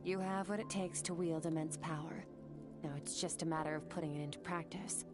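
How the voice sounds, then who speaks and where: An actress plays an aloof female swordmaster from beyond the stars, her voice set lower than most women's. She speaks in a clipped, matter-of-fact way, with game music underneath. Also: fairly even intonation, a little fast, close to an everyday voice. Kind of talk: video game cutscene dialogue.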